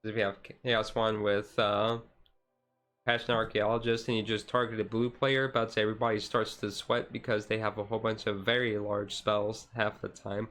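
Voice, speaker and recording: A man talks casually to a livestream in a fairly flat, even tone. He rambles in a laid-back way, dropping informal, jokey slang as he goes. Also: on the low side, a little fast.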